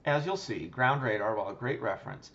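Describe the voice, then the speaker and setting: A man with a middling pitch reads a scripted aviation voice-over. His delivery is measured and matter-of-fact, like a pilot explaining technical decisions. The recording sounds a little dull and muffled. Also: animated, fairly bright tone. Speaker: pilot and channel host. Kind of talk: scripted narration, flight review voice-over.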